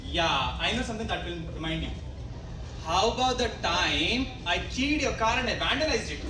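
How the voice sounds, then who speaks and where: A brash, stubborn former pupil with an Indian accent, acted by a young woman. The delivery is insistent and slangy amateur stage comedy. It sounds distant and echoey, with clear noise in the hall. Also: lowish voice, very animated, normal speed. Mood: reminding, boastful. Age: young adult. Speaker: student actor in a college play. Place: live college stage, picked up from afar.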